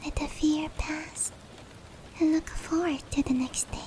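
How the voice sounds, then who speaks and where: A woman voicing a gentle, caring female demon in an intimate roleplay ASMR, whispering softly and breathily to comfort a human. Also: high voice. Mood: soothing, reassuring. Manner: slow, tender, reassuring. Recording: steady rain noise behind. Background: rain.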